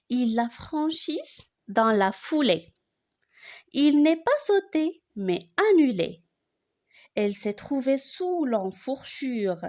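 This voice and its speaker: A woman reciting a poem for learners in an expressive way, phrasing it deliberately line by line. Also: on the high side.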